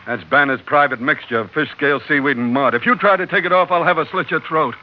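An actor playing a brash, slangy, loyal sailor sidekick in an old-time radio drama, with a wisecracking manner. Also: male voice, on the high side, quick pace, close to an everyday voice. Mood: wry. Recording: dull, lo-fi, noisy old radio recording.

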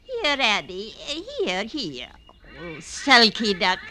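A woman's voice: medium pitch, sing-song, slow, pushed and bright, full character voice. An actress playing a fussy old lady feeding ducks and feigning a fainting spell, in 1940s radio-acting style. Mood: cooing. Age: older. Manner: dithering, theatrical fainting spell.

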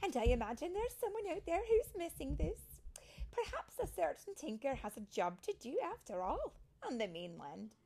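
A woman voicing a gracious, stately female fairy elder in a storybook, measured and slightly formal. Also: high-pitched, sing-song, creaky, a little mellow, pitched above the performer's own voice, heavily acted. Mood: approving.

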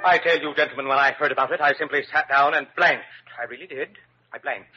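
An actor playing a pompous, easily flustered hospital administrator in a 1940s radio drama, prissy and fretful. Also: male voice, medium pitch, steady tone, quick pace, sharp tone, slightly rough, soft background music. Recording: dull lo-fi old radio broadcast.

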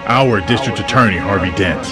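A man's voice: lowish voice, normal speed, over loud music, lightly acted. An actor playing an authoritative male police commissioner in an audio drama, making a formal, official announcement.

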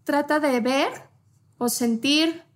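A woman leading a guided meditation with gentle instructions, in short phrases with pauses between them. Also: sits high.

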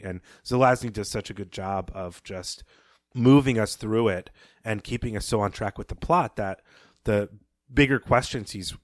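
Low-voiced man recapping a story. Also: no echo, clean studio sound. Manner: thoughtful, recapping plot with pauses and restarts. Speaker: podcast co-host. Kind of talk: casual book discussion.

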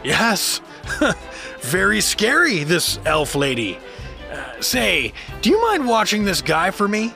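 A man's voice: high-pitched, wildly swooping pitch, slow pace, creaky, over music, heavily acted. A man playing a goofy, boastful, drunken adventurer in a fantasy audio drama, slurring and muddling his words. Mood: giddy, drunk.

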